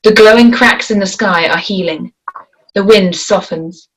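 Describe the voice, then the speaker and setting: Slow, measured woman reading directions. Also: medium pitch, rough recording. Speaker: narrator reading stage directions. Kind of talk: table read narration.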